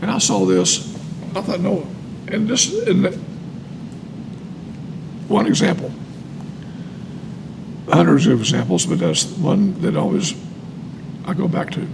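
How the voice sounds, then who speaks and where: A man's voice: high-pitched, wildly swooping pitch, unhurried, vocal fry, pauses often, background noise. An American man reading aloud and sharing personal testimony, emphatic and repeating phrases for weight. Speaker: co-host reading and commenting on a recovery book.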